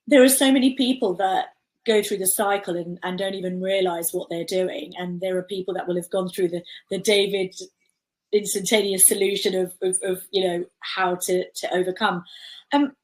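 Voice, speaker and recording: A woman's voice: mid-range voice, steady tone, normal speed, a little mellow, low-quality recording. A woman hosting an online session. She backs up her guest and sums up his points. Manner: affirming, summarizes the guest's points. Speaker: host. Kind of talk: webinar interview.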